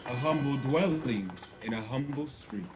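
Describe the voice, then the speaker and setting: A man's voice: medium pitch, moderate pace, darkish tone, faint music behind, natural voice. A man playing a grave, stern Christmas spirit in a radio-style audio play, speaking in a declamatory way. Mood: solemn. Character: solemn, stern ghostly Christmas spirit guiding a miser.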